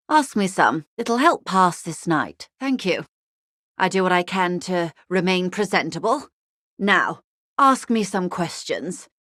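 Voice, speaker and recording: A poised, low-voiced older female negotiator. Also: a bit bright, dry recording, clean studio sound. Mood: courteous, poised. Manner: composed, courteous, dryly witty, measured diplomatic phrasing. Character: older, shrewd, well-bred female corporate negotiator. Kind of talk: video game character dialogue.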